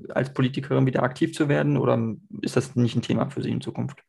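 A man's voice: medium pitch, fairly even intonation, quick pace, creaky, darkish tone, slightly rough. A man interviewing a guest, asking long questions full of hesitations. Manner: hesitant, many fillers.

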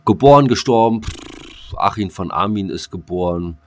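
A man's voice: deep voice, lively intonation, moderate pace. A man co-hosting a casual podcast, rambling and joking with many fillers. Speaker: podcast co-host.

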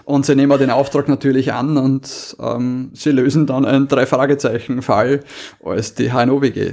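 Enthusiastic man chatting, medium pitch. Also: steady tone, normal speed, gravelly, a little mellow. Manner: enthusiastic, amused. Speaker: podcast host. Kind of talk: casual chat, recommending audio plays.

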